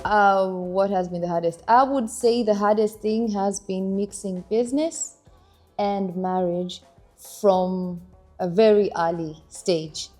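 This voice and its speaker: A young woman from Kenya, chatting casually about her marriage, animated and laughing now and then. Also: mid-range voice, takes it slowly, faint music behind. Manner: animated, laughs while telling stories. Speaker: interviewee, wife in a couple. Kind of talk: casual conversational storytelling.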